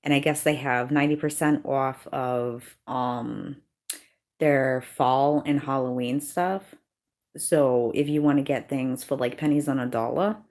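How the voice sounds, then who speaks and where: A woman's voice: extremely low pitch, steady tone, unhurried, darkish tone. A woman from Massachusetts with a Worcester accent, chatting casually and bluntly on a live stream, swearing freely. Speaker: YouTuber hosting a live stream.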